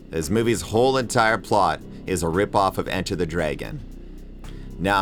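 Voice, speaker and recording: Low guy doing a snarky crude video essay voiceover, guitar music underneath. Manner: irreverent, sarcastic, crude joking. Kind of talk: scripted comedic video essay narration. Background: background music with guitar.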